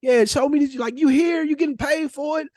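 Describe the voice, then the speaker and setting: A man's voice: extremely high pitch, steady tone, a little fast, darkish tone, no echo. An American man co-hosting a podcast in casual debate, emphatic and insistent, repeating his points with slang and swearing. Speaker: podcast co-host. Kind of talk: casual debate between friends.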